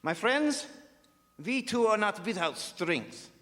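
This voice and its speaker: Man as very high-voiced, grave vampire-hunting professor. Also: lively intonation, bright, forceful tone, exaggerated voice. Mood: solemn warning. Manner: solemn, deliberate, oratorical. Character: grave, authoritative male professor leading vampire hunters. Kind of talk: old-time radio drama acting. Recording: live theater stage, long hall reverb.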